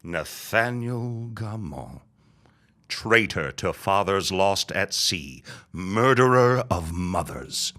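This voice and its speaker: Guy playing bitter old dying reverend, real low and slow, scornful and grand, period audio drama. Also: expressive, sharp tone, heavily acted. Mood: accusing, menacing. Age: older. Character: bitter, vengeful, near-death old reverend and landowner father.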